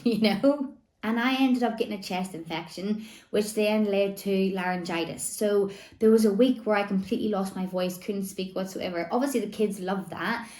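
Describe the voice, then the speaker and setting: A woman's voice: medium pitch, a little mellow, roomy sound. A woman, chatting casually to camera as a vlogger, her voice still slightly worn from laryngitis, rambling with lots of "like" and "you know". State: recovering voice after laryngitis. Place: home room.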